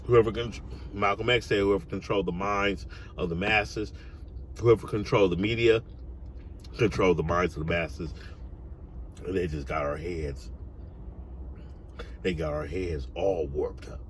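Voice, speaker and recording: A man's voice: deep voice, unhurried, long pauses, slight background noise. An American man giving an opinionated, informal monologue, emphatic and slangy, repeating phrases to drive points home. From United States. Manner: casual street slang. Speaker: online commentator.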